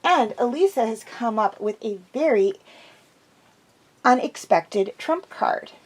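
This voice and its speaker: A woman's voice: a little high, expressive, slow pace, slightly rough, rough recording. A woman host chatting casually and enthusiastically through an episode review, slightly congested from a cold.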